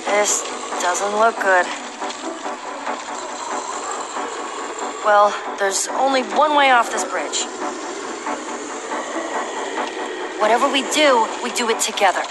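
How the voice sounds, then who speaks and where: A woman's voice: mid-range voice, lively intonation, moderate pace, heavy background noise, natural voice. A woman voicing a tough, confident young female adventurer in a video game, with a dry, teasing, self-assured manner. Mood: resolute. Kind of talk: animated game dialogue.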